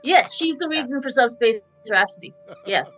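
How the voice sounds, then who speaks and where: Bright-voiced woman in casual discussion. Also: high voice, lively intonation, normal speed, faint music behind, low-quality recording. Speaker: panelist.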